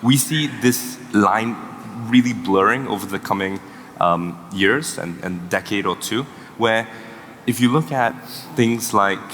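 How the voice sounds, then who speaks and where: Man pitching his startup in a hall. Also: measured pace, a bit bright. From Singapore. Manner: pitching, fluent, stumbles and repeats words. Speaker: startup co-founder. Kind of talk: fireside chat on stage. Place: event hall. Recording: reverberant hall with faint noise.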